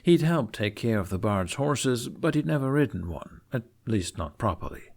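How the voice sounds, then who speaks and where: A man reading a scripted fantasy story aloud in an expressive audiobook style. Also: medium pitch, normal speed. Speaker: fan fiction narrator.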